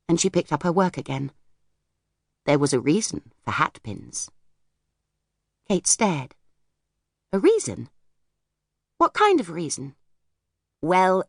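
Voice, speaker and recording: A woman narrates a children's storybook. Her voice sits fairly low with some creak and moves expressively through the scripted prose, recorded close and dry. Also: dry recording. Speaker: audiobook narrator.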